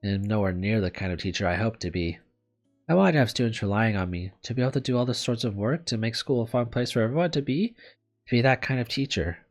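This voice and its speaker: A man's voice: fairly low pitch, animated, quick pace. A man voicing an anxious, self-doubting young woman teacher sick in bed in a visual novel, hesitant and self-pitying, with music behind. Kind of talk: visual novel read aloud. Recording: background music under the voice.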